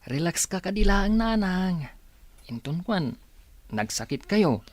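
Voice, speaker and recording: A man playing a patient young man who coaxes and calms in a soft-spoken way, in an Ilocano radio drama. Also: high voice, takes it slowly, no echo, voice pushed up, heavily acted. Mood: calming, coaxing. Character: patient young man calming his anxious elder.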